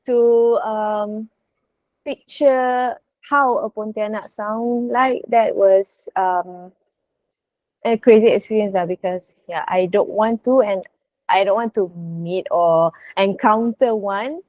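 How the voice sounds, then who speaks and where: A woman talks slowly as an interview guest. Her delivery is casual and hesitant, in a mid-range voice recorded dry with little room sound. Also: medium pitch, darkish tone, no echo, muffled recording. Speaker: voice actor, podcast guest.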